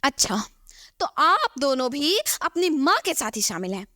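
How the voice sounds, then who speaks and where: A woman voicing a bossy, quick-tempered young daughter-in-law in an animated story, with a dramatic delivery. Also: sits high, dry recording, clean studio sound, exaggerated voice. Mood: indignant. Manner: indignant, dramatic. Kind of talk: Hindi animated moral story voice acting.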